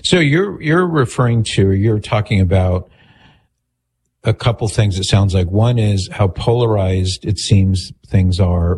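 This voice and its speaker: A man hosting a call-in radio show, calm and attentive as he restates what his callers say. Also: low-pitched, slight background noise. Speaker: radio host.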